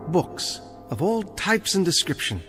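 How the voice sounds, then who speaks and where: A bookish librarian standing trial in a radio drama, with music behind him. His fairly high voice swings freely, polite and hesitant at times, pedantic and passionately defiant at others. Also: sing-song, a little slow, big-room sound. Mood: earnest, eager.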